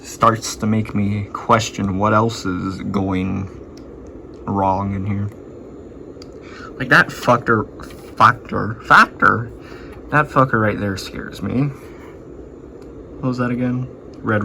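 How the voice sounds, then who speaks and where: A man's voice: on the low side, steady tone, slow pace, gravelly, pauses often. A man thinking aloud in casual, sweary repair commentary, muttering and musing to himself as he works on a noisy home video. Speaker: hobbyist radio repairer filming his work.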